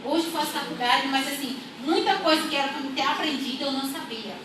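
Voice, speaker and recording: A young woman from rural Brazil telling her life story as a church testimony, unhurried and repeating her phrases. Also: sits high. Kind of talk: personal testimony. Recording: echoey room, far from mic, audible noise.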